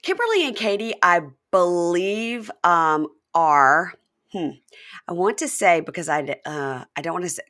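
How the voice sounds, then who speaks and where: A woman's voice: on the low side, expressive, a little slow, fairly bright tone. A woman in her fifties hosting a podcast, talking casually and rambling with fillers. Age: 50s. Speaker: podcast host.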